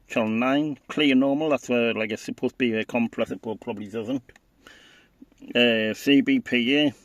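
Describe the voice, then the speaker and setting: A man giving a casual, unscripted product walkthrough as a hobbyist, halting and matter-of-fact. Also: medium pitch, no echo, muffled recording. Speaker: hobbyist video presenter.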